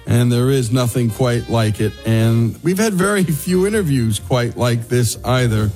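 A man's voice: mid-range voice, animated, unhurried, a little mellow, faint music behind. A man hosting a conversational interview, putting questions to a guest and responding to the answers. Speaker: interview host.

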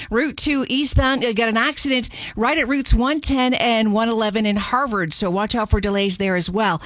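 A woman giving a live radio traffic report in a brisk, chatty rundown. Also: medium pitch, a bit bright, no echo.

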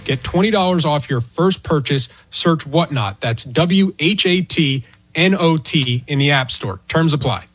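A man's voice: a little high, measured pace, fairly bright tone, breathy edge, no room sound. A man with an American accent reading a scripted commercial as an announcer. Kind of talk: scripted ad read.

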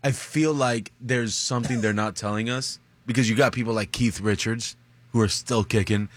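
Wisecracking co-host, dry close sound. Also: male voice, medium pitch, normal speed, dry recording. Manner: dry wisecracks, animated storytelling. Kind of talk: casual banter.

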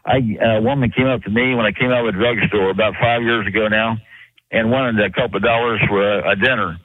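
A man's voice: mid-range voice, breathy edge, dry recording. A man calling into a radio show who rambles, restarts and fills with hesitations. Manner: rambling, many fillers and restarts. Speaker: caller. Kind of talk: call-in radio talk. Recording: tinny phone line.

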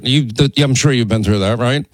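A man's voice: mid-range voice, fairly quick, no room sound. A middle-aged man hosting a political talk radio show, rambling conversationally with asides and fillers while getting over laryngitis. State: recovering from laryngitis. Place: radio studio. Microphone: studio microphone.

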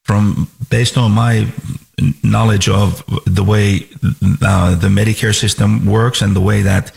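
Male financial advisor from Finland, radio guest; gravelly, deep-ish, measured delivery with restarts. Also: flat delivery, a little mellow. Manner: measured, many restarts and fillers. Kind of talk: radio call-in talk.

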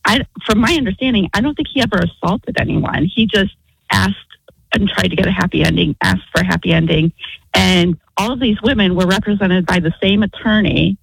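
A woman's voice: mid-range voice, brisk, gravelly, husky, dry recording, low-quality recording. A woman on a radio show who talks in a hedging way, often qualifying what she says. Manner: hedging, lots of "from my understanding". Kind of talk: radio talk chat.

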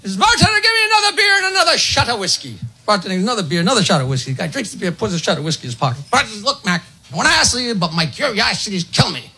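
A man telling stand-up jokes in a punchy, clipped style, shouting out the dialogue and punchlines. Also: high voice, big pitch swings, racing, few pauses, slightly rough. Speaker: stand-up comedian.

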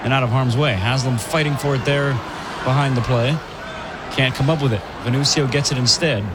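A man calling live women's college hockey play-by-play, brisk and chatty, laughing easily between plays. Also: medium pitch, background noise. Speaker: play-by-play commentator.

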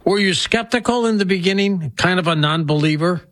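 A man's voice: high-pitched, a little fast, a bit bright, no echo, clean studio sound. A man hosting a radio interview, asking his guest questions in a conversational way. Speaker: radio host.

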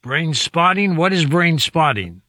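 A man's voice: on the high side, big pitch swings, measured pace, bright, forceful tone. A man hosting a talk radio call-in show, introducing and questioning callers. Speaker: radio host.

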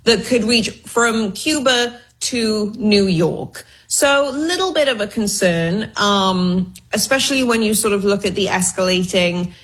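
A woman's voice: medium pitch, a little slow, few pauses, breathy edge. A woman speaking as a guest researcher and commentator, explaining news informally with wry, hedging asides. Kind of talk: conversational news analysis.

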